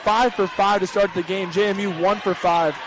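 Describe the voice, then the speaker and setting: A man's voice: high-pitched, fairly even intonation, moderate pace. A man giving emphatic, explanatory color commentary on a live basketball game. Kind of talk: live sports analysis. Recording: crowd noise in a gym. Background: crowd and bouncing basketballs.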